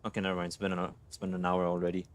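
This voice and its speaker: A man's voice: low voice, completely flat delivery, rapid-fire, slight background noise. A man live streaming casual gaming reactions, informal and often muttering to himself. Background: game music.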